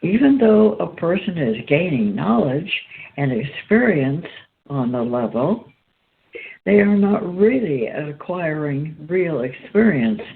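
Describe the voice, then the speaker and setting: A woman with a creaky, gravelly voice hosts and reads spiritual writing aloud. She goes very slowly, breaking sentences into halting, deliberate pieces. The sound is narrow and phone-like, with some noise behind her. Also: sits low. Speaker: host and reader. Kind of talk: slow read-aloud of spiritual text. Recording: tinny phone-line sound, background noise.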